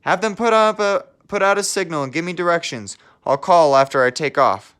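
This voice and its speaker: A man's voice: a little high, expressive, brisk, a bit bright, clean tone, pitched above the performer's own voice. A man voicing a plucky, earnest little helicopter character in a children's storybook read-aloud. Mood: urgent. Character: plucky little male helicopter, earnest, eager, good-humoured.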